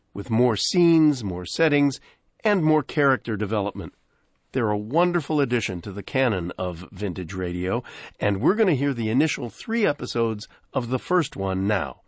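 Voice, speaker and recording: A man's voice: mid-range voice, dry recording, studio quality. An American man hosting a radio show, reading a scripted introduction to vintage programs. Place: dry broadcast studio.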